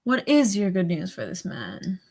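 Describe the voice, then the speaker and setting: A woman's voice: medium pitch, animated, unhurried, gravelly, a little mellow. A woman playing a haughty, possessive nightclub singer with imperious, melodramatic delivery in a radio drama. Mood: wary. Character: Aisha, haughty, possessive female singer of the Casbah. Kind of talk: 1940s radio drama acting.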